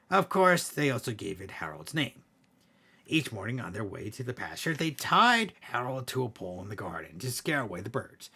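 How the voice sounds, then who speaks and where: A man reads a scary bedtime story aloud. His voice is low, thick with fry and raspy. He performs it dramatically, letting the ends of phrases sink into a hush. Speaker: story reader, host of a bedtime story show. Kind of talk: expressive read-aloud horror storytelling.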